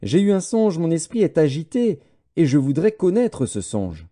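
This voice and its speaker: A man's voice: on the high side, very animated, normal speed, mellow, pitched above the performer's own voice. A man reading the words of a troubled, suspicious king who issues commanding threats, in a dramatised scripture reading.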